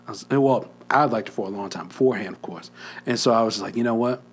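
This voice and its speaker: A man's voice: fairly low pitch, fast talker, breathy edge. A young man co-hosting a podcast, telling a story in a casual chat, often dropping to a near whisper and laughing. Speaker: podcast co-host.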